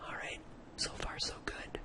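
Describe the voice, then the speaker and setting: Breathy whisper, ASMR tutorial host, informal, hesitant, thinking aloud, step-by-step coding explanation. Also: unhurried.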